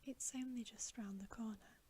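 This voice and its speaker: A woman's voice: on the high side, steady tone, moderate pace, dark tone, a little hiss or hum, close to an everyday voice. A woman voicing a polite, flustered, slightly melancholy male fawn from a storybook, whispered with formal, hesitant courtesy. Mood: inviting. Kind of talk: whispered ASMR storybook reading.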